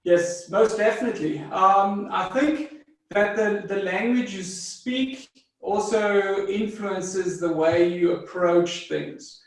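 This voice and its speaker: German-speaking South African man, high-pitched and unhurried, recorded far from the mic in a very echoey room. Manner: measured, thoughtful, with frequent fillers and restarts. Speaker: guest psychologist. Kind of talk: interview answers.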